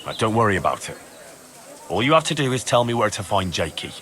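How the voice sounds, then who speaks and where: A blunt, animated young man-at-arms. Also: on the low side, fast talker. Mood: stern. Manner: blunt, sarcastic, pressing. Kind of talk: video game cutscene acting.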